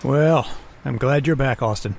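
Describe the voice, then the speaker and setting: A man's voice: mid-range voice, unhurried, creaky, darkish tone, a little hiss or hum. A man playing a friendly, casual excavation foreman who talks matter-of-factly in an old-time radio drama. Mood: casual, welcoming.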